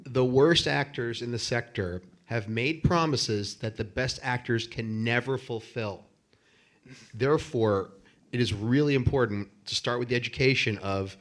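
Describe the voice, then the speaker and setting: Assertive man giving panel remarks. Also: mid-range voice. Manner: assertive, emphatic, with measured statements. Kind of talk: conference panel discussion.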